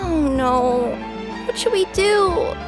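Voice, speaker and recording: A woman's voice: very high-pitched, lively intonation, slow pace, exaggerated voice. A woman playing a sweet, caring young courier girl in an anime game, speaking earnestly and a little hesitantly. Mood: worried. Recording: loud background music.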